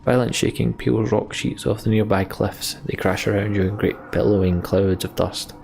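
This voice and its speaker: A man's voice: fairly low pitch, fairly even intonation, normal speed, vocal fry, a little mellow, slight background noise. A man hosting a let's play, reading game text aloud and commenting casually on his play. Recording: game music behind the voice. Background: game music.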